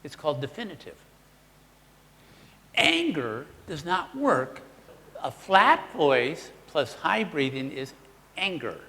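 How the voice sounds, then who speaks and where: A man's voice: on the high side, slow, long pauses, in a large hall. A man presenting a live workshop, teaching the audience and acting out voice examples himself. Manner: animated, demonstrative, models voices for the audience.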